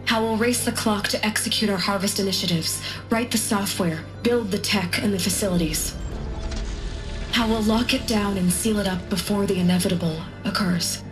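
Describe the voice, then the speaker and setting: Brief: female actor, visionary game scientist, earnest recruiting speech, mid-pitched voice. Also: steady tone, moderate pace, lightly acted. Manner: earnest, rousing, carefully paced speech. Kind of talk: recorded speech in a game cutscene. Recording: game audio with loud music.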